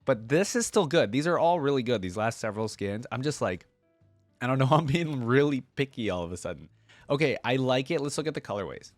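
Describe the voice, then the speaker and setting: A man's voice: mid-range voice, animated, brisk, no room sound, faint music behind. A man giving casual reaction commentary as a video host, thinking aloud in informal, slangy language.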